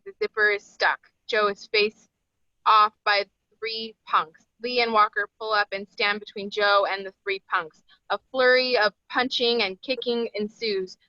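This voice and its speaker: A woman's voice: a little high, sharp tone, dry recording, highs cut off. A woman reading screenplay stage directions as the narrator at a table read.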